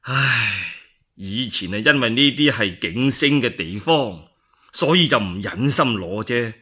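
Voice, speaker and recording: A man voicing a courteous, cautious middle-aged lord in Cantonese, soft-spoken and earnest. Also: medium pitch, lively intonation, measured pace, slightly rough, voice pushed down, heavily acted. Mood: regretful.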